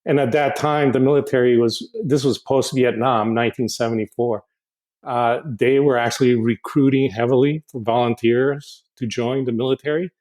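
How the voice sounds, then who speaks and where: A man's voice: mid-range voice, fairly even intonation, a little mellow, poor audio. Hesitant older man from Honduras. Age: 60s. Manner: halting and reflective, frequent restarts and repeated words. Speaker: guest. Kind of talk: casual podcast interview.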